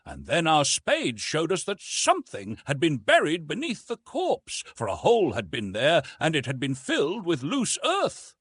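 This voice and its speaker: A man voicing an earnest young English gentleman in an audiobook, proper and emphatic in manner. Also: a little high, wildly swooping pitch, moderate pace, few pauses, slightly rough, no echo, voice pushed up, heavily acted. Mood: grim, baffled. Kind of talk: dramatised audiobook reading.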